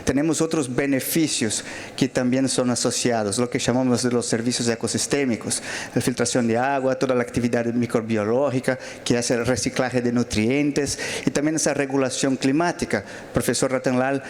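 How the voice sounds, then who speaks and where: Brazilian guy giving a conference wrap-up in spanish, portuguese accent, lots of "ah" and restarts, medium pitch. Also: fairly even intonation, normal speed. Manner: hesitant, frequent fillers and restarts. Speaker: panel closing speaker. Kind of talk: conference closing remarks. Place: large auditorium.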